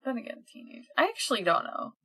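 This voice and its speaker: Bright, forceful woman in casual chat. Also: fairly high pitch, very animated, fast, husky. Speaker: podcast co-host. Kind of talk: casual chat, recapping a fan comic.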